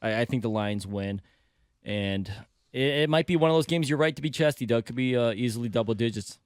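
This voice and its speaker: A man contributing to a sports radio show, giving his view in a measured, casual way with some hedging. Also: mid-range voice, clear, resonant tone, no room sound, studio quality.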